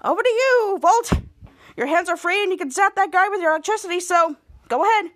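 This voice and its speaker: A woman's voice: extremely high pitch, a little fast, a bit bright, dry recording, clean studio sound, pitched above the performer's own voice. A woman voicing a bossy, exasperated male superhero leader who gives impatient, emphatic commands in a retold story.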